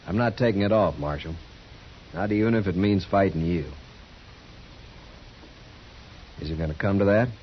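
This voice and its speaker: Male actor as dangerous frontier gunman, deep voice, calm, proud, folksy, dull hissy old radio sound. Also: lightly acted. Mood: firm. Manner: plain-spoken, folksy, unhurried. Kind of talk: 1950s radio drama acting.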